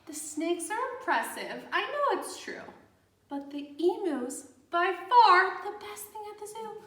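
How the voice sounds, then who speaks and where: A woman's voice: extremely high pitch, sing-song, a little slow, a bit bright, voice pushed up, full character voice. A woman voicing an opinionated male zoo visitor in a children's storybook, making emphatic pronouncements. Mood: impressed. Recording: echoey room, distant microphone.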